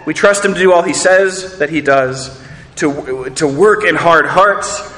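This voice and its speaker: A man's voice: fairly high pitch, a little slow, fairly bright tone, long reverb tail. A man preaching a sermon, earnest, asking rhetorical questions and repeating words as he builds each point. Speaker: pastor.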